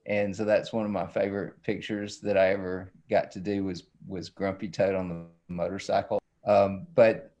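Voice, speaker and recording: A man's voice: low voice, little pitch movement, normal speed, hardly any pauses, mellow, dull audio. A man, an illustrator talking casually and playfully as he demonstrates drawing. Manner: folksy, playful, self-described silly. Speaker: children's book illustrator.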